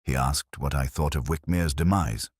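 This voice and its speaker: A man playing a weary, wry older police inspector, narrating a literary audio drama in a measured, understated, reflective way. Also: extremely low pitch, breathy edge, no echo, clean studio sound.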